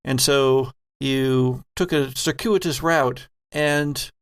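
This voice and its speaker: A man narrating a horror tabletop game as game master, telling the story deliberately and dramatically with pauses. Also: mid-range voice, clean tone, no echo, studio quality.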